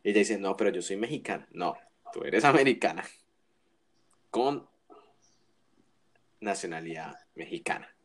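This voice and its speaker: A man's voice: deep voice, expressive, moderate pace, long pauses, slight background noise. A man co-hosting a casual wrestling chat, giving opinions with frequent restarts and tag questions. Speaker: wrestling podcast co-host.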